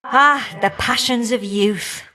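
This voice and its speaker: Fiery older goddess for a game, cheeky and folksy, teases you fondly. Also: female voice, fairly high pitch, slow pace, pushed and bright. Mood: wistful, teasing. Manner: folksy, teasing, affectionate endearments and colloquial turns of phrase. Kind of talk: video game character voice acting.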